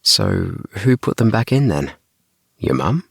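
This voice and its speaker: A man's voice: on the low side, huge pitch swings, slow, vocal fry, no echo, studio quality, voice pushed up, heavily acted. A man voicing an inquisitive, cheeky schoolgirl who asks probing questions in a children's audiobook. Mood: puzzled, probing. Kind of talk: children's audiobook character dialogue.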